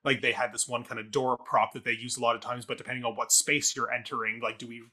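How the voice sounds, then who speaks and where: A man's voice: mid-range voice, little pitch movement, fast talker, pushed and bright. A man co-hosting a theatre review podcast, talking casually and thinking aloud in long, winding sentences.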